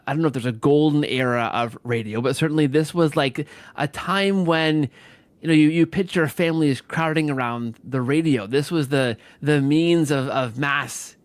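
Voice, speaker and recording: A man's voice: on the high side, dry recording. A man hosting a casual interview, rambling tentatively and often checking for agreement with tag questions. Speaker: podcast host and interviewer.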